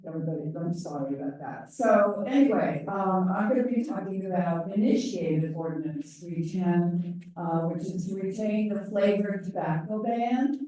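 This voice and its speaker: Loud older woman in echoey hall. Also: medium pitch, normal speed. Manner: chatty, self-deprecating, wandering asides. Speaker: volunteer presenter on ballot issues. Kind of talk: informal public briefing. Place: large meeting room. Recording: very echoey room, voice from far off.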